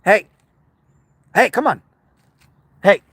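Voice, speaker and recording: A man's voice: high-pitched, animated, slow, a bit bright, breathy edge. A man rambling casually on a livestream from a car, talking to his dog and his viewers. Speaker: livestreamer on a road trip.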